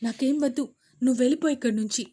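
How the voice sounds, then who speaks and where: A woman's voice: sits high, fast, mellow, slightly rough. A woman voicing an arrogant, spoiled young daughter who answers back haughtily in a Telugu audio story. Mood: sulky. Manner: haughty, sulky.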